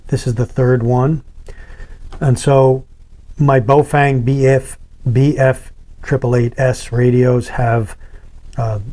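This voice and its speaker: A man with a dark, relaxed voice presents a how-to product demo. His pitch sits in the middle of the male range, and he explains each step in plain, everyday language. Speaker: product reviewer and video host. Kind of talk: casual how-to product demonstration.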